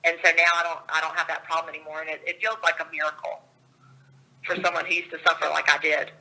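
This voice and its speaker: A woman speaks with bright, forceful energy and a gravelly edge, her voice slightly scratchy from a cold. She talks over a call line in an upbeat way and laughs readily. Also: low-pitched, flat delivery, quick pace. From Georgia, USA. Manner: upbeat and enthusiastic, laughs often. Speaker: author and guest.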